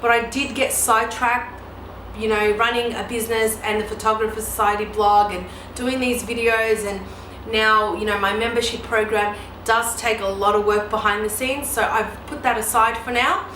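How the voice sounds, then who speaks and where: A woman's voice: on the high side, normal speed. A woman in her mid-thirties, a photographer answering viewer questions in a casual, chatty way with many ums and you-knows. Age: 30s. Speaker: newborn photographer and educator. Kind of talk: casual Q&A vlog. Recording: echoey room with steady background noise.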